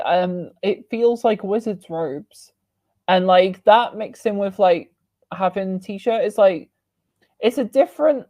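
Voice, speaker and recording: A woman's voice: mid-range voice, dark tone, no room sound. A woman hosting a casual podcast chat, rambling and filling her talk with lots of "like" and "um". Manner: rambling, heavy on fillers. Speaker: podcast host.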